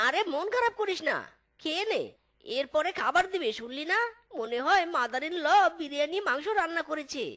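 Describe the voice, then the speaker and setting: Woman voicing a very high, greedy cartoon fox husband. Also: expressive, moderate pace, a bit bright. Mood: boastful. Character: greedy boastful fox husband, eldest son-in-law, comic. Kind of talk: Bengali animated comedy story dialogue.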